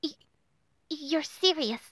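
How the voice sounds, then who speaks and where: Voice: high-pitched, lively intonation, slow, a bit bright, no echo, clean studio sound, exaggerated voice. An actor voicing a cheeky, teasing young minion in an audio drama. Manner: playful, teasing.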